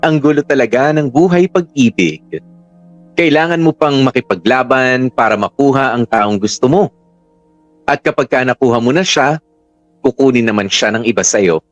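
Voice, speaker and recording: A man's voice: mid-range voice, moderate pace, no echo, muffled recording, close to an everyday voice. A man with a Filipino accent narrating a chatty first-person radio drama story. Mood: reflective, sassy. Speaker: narrator reading the letter sender's story. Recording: music bed under dry narration.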